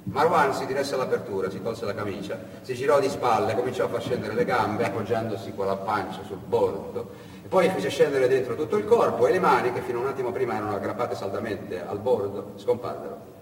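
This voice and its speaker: Male stage storyteller, mid-pitched, emphatic, dramatic pauses, distant mic, reverberant hall. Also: lively intonation. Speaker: actor and storyteller. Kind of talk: theatrical dramatic narration. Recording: echoey hall, distant mic, faint noise.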